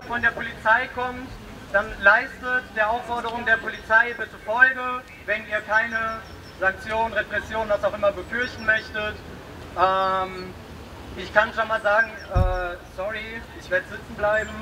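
A man's voice: high voice, little pitch movement, moderate pace. A man giving an informal speech through a megaphone at a street protest, with many hesitations. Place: street blockade outdoors. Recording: distant outdoor recording with street noise.

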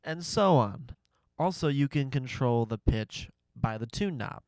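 A man's voice: mid-range voice, lively intonation, a little slow, darkish tone, no room sound. A man in his thirties talking casually to camera as he demonstrates a synthesizer in a home studio. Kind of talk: casual gear demo talk to camera. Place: small home music studio, indoors.

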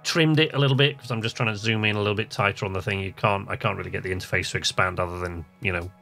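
Low-voiced man hosting a game playthrough. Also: steady tone, racing, vocal fry, sharp tone, soft background music. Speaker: host of a video game channel. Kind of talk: casual let's-play commentary, reading game text aloud.

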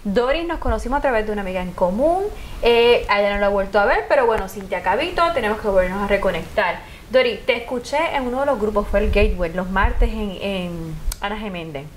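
A young adult woman hosting a TV interview, asking lively, probing questions and jumping in with follow-ups. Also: mid-range voice, fairly quick, hardly any pauses, a little hiss or hum, rough recording. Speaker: TV show host. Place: TV studio set.

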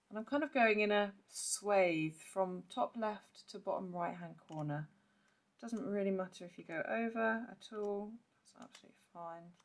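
Croaky-voiced woman teaching a craft. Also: medium pitch. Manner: chatty, clears throat often. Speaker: papercraft demonstrator. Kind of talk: casual step-by-step craft tutorial.

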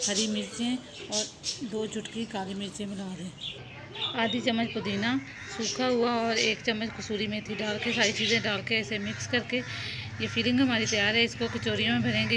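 Calm woman teaching a recipe. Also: a little high. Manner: calm, instructive, talks while working with hands. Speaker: home cooking channel host. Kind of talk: step-by-step cooking demonstration. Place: home kitchen. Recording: loud background noise, birds chirping.

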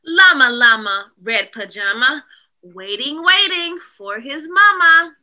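A woman in an expressive, animated read-aloud style, speaking directly to young children as a teacher would. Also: high voice, takes it slowly. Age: adult.

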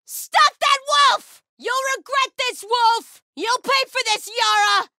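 A woman's voice: very high-pitched, takes it slowly, creaky, no room sound, clean studio sound, full character voice. An actress playing a grim, devout female cult soldier in a video game, with a stern, zealous manner. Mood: urgent, shouting. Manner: solemn, stern, swinging from hushed muttering to shouted alarms. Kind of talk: video game combat voice lines.

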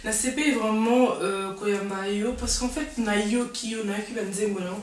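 A woman's voice: medium pitch, fairly even intonation, moderate pace, a little hiss or hum. A young woman hosting an interview on a sofa in a living-room set, introducing her guest enthusiastically and prompting him with questions. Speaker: talk show host. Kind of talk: hosting an interview in French mixed with Lingala. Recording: echoey room, mic at a distance.

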